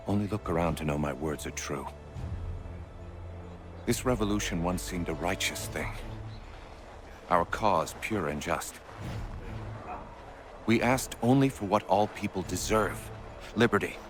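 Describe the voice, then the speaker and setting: Deep-voiced, solemn army commander. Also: male voice. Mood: despairing. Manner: formal, measured, grave. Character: weary, solemn male army commander of a revolution. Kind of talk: dramatic video game cutscene acting.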